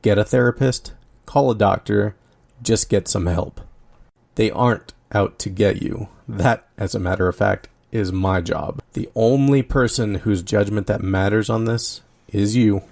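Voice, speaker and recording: A man's voice: on the low side, measured pace, vocal fry, darkish tone. A man playing someone's embodied anxieties and traumas in a dramatic monologue, blunt, exasperated and swearing casually, yet caring. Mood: pleading, blunt. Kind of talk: dramatic monologue addressed to the listener.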